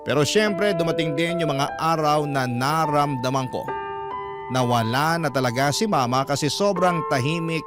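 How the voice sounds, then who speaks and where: A man with a Filipino accent narrating a scripted first-person story as a sentimental radio drama storyteller. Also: on the high side.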